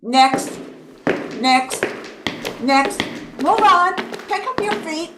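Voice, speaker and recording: A woman's voice: very high voice, animated, extremely slow, exaggerated voice. A woman playing a brusque, callous guard in a stage play, giving impatient, clipped orders. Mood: impatient, commanding. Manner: barking, impatient, clipped commands. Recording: distant echoey stage, faint noise.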